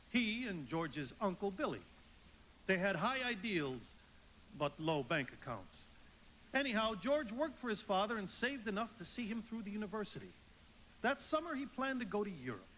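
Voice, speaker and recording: An actor playing a kindly, authoritative head angel, instructive and avuncular, in a live radio drama. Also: male voice, high voice, fairly bright tone, a little hiss or hum, low-quality recording. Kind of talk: live radio play acting.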